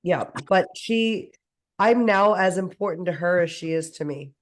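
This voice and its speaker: A woman with a hoarse voice worn down by a cold, pitched on the lower side. She speaks with enthusiasm in a casual panel chat and piles up long lists as she goes. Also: a little slow. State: hoarse from a cold, losing her voice.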